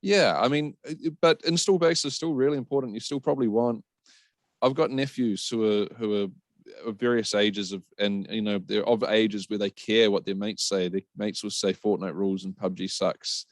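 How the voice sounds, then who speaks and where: A man's voice: fairly low pitch, normal speed, dry recording. A man chatting on a podcast, informal and hesitant, often correcting himself. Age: adult, middle-aged or younger. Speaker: podcast panellist. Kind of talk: casual podcast discussion.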